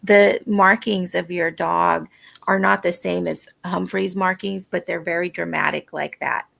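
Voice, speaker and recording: A woman's voice: fairly low pitch, steady tone, a little slow, vocal fry, fairly bright tone. A woman hosting a livestream, chatting casually. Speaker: livestream host of crafting sprints. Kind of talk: casual chat.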